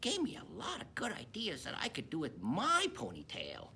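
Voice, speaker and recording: A woman's voice: fairly low pitch, wildly swooping pitch, moderate pace, gravelly, bright, forceful tone, heavily acted. A woman voicing a cheerful, wacky young pony in a children's book discussion, with some background noise. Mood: cheerful, musing.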